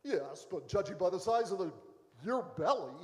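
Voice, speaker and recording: Smug rival churchman, played by a male actor, high and very animated, breezy mockery, comic radio play. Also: moderate pace. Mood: smug teasing. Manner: breezy, mocking. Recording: echoey church hall, live stage.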